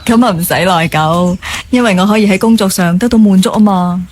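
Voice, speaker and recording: A woman's voice: mid-range voice, a little fast, darkish tone, a little hiss or hum, lightly acted. A woman acting a devoted assistant in her twenties in a radio drama, speaking sincerely and soothingly. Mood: calm, reassuring. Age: 20s. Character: caring young female assistant, thoughtful, devoted, earnest. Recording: background music under the voice.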